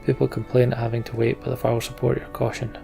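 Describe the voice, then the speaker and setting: A man's voice: mid-range voice, little pitch movement, fast, gravelly, over music. A Scottish man hosting a let's play, mixing casual commentary with dramatic reading of the story text. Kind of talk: game commentary and dramatic narration of on-screen text.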